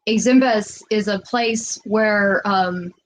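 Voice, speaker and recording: A woman giving psychic impressions about a doll in a relaxed, conversational way, repeating words as she searches for them. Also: mid-range voice, poor audio. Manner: thoughtful, unhurried, repeats words while searching. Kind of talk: casual conversation.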